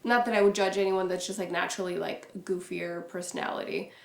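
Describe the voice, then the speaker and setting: A young woman vlogging to camera in a relaxed, reserved way, with a naturally low voice she pushes louder and many casual fillers. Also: a little fast, gravelly. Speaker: YouTuber. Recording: echoey room.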